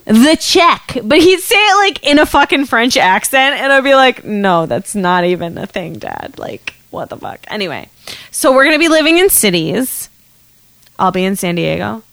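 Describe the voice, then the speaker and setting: A woman's voice: fairly high pitch, sing-song, moderate pace, creaky, fairly bright tone, dry recording. An American woman in her thirties co-hosting a podcast, bantering casually in an irreverent, exclamatory way with plenty of swearing. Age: 30s. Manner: irreverent, profane, exclamatory, giggly. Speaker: podcast co-host. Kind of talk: casual banter about the news.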